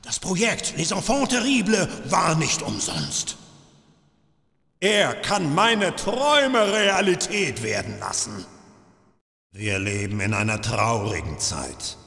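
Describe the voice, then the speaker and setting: Slow, echoing, sadistic older villain. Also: male voice, fairly high pitch, very animated, creaky, bright, forceful tone, exaggerated voice. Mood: grandiose, menacing. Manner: taunting, deliberate, theatrical. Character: sadistic older male Russian gunslinger interrogator, menacing and smug. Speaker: voice actor in a video game dub. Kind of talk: theatrical video game villain acting. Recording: echoey, reverberant game audio.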